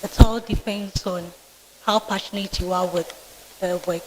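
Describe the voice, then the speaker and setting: A soft-spoken young woman in her twenties with a Ghanaian English accent, giving polite, formal answers as a student candidate in a vetting interview. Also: mid-range voice, fairly even intonation, unhurried, a little hiss or hum, rough recording. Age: 20s. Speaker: student candidate being vetted. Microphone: microphone with feedback.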